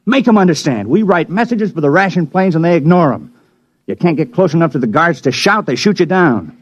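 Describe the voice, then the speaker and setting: An actor playing a tough, blunt camp guard, gruff but earnest, in a 1950s radio drama. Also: male voice, fairly high pitch, animated, fairly quick, dry recording. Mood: urgent, pleading. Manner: gruff, blunt, plain-spoken. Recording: dry, dull old radio recording.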